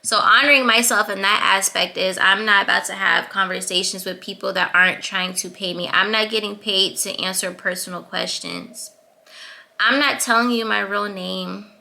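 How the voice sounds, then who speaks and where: A young woman with a sweet, high-pitched voice giving casual, assertive advice to her vlog viewers, laughing now and then. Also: steady tone, normal speed, creaky, sharp tone. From Atlanta, US. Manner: assertive, chatty, laughs between points. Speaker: YouTuber.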